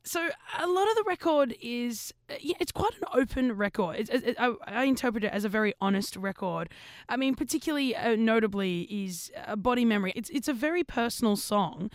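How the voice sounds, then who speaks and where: Bright-voiced woman interviewer, smooth and dry. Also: fairly high pitch, lively intonation, a little fast, dry recording. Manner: engaged, reflective questioning. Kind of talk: interview questions.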